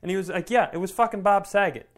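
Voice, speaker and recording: A man co-hosting a comedy podcast, telling anecdotes casually with animated, self-deprecating delivery and some swearing. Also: high voice, fast talker. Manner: animated storytelling with profanity.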